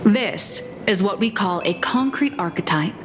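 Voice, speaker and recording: A woman playing an earnest, wry comic artist in an anime-style video game, explaining things in a measured, slightly theatrical way. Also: mid-range voice, animated, close to an everyday voice. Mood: explanatory. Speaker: comic artist presenting her work. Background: music.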